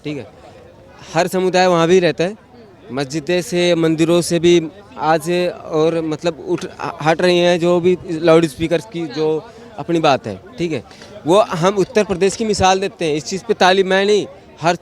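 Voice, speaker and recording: A man's voice: a little high, steady tone, normal speed. A man in a casual street interview, plain-spoken and insistent, often repeating himself to press his point.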